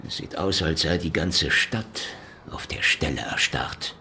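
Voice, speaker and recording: An actor playing a sly, mocking private detective with dry mock courtesy in a German radio drama. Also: male voice, a bass voice, unhurried, vocal fry, pushed and bright, a little hiss or hum, heavily acted. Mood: dry, probing. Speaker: lead actor in a radio play.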